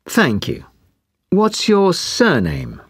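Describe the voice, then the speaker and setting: Low husky woman asking slow questions. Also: huge pitch swings, no room sound, studio quality. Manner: slow, clearly spaced questions. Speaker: speaking test examiner. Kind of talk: scripted exam interview.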